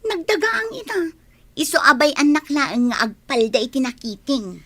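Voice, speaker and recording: Pert young village beauty, Ilocano accent, piercing high voice, comedy radio drama. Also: female voice, sing-song, normal speed, fairly bright tone, exaggerated voice. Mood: defiant, breezy.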